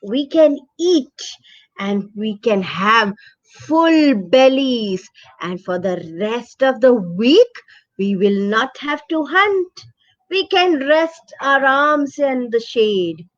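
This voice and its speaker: A woman voicing a hungry, confident lioness in a children's story, coaxing and rallying her pride with drawn-out words. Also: sits high, expressive, lo-fi sound. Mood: eager, coaxing.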